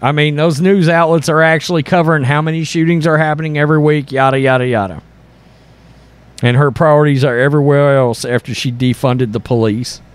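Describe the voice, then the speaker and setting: A man's voice: on the high side, steady tone, fairly quick, clear, resonant tone. A man hosting an online commentary channel, reading and reacting to news in a blunt, sarcastic, exasperated way. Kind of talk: opinionated political commentary mixed with reading news aloud.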